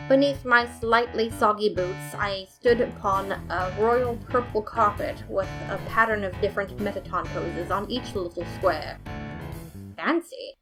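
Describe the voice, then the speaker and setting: A woman reading a fan story aloud as narrator, with lively, playful storytelling. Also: medium pitch, rough recording. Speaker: podfic reader.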